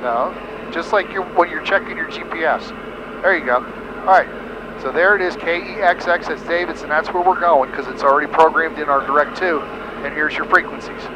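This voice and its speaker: A man's voice: fairly high pitch, a little fast, highs cut off. A middle-aged American man giving hands-on flight instruction, explaining things step by step over a headset. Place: small airplane cockpit in flight. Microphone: aviation headset. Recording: headset audio with engine noise.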